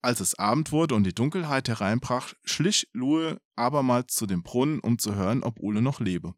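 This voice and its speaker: A man's voice: mid-range voice, no room sound, clean studio sound. A man reading a folk tale aloud expressively as a podcast storyteller, with casual asides.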